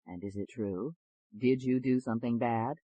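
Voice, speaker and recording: A woman narrator plays a male doctor practicing hypnosis, with a lowered voice. He is calm and unhurried, coaxing and reassuring in an audiobook reading. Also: dry recording, muffled recording. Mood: probing.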